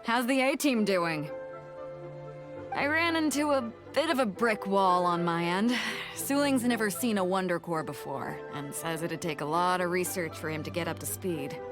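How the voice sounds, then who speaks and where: An actress playing a bold, friendly ship captain who talks in a hearty, direct way. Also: female voice, on the low side, normal speed, lightly acted. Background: soft game music.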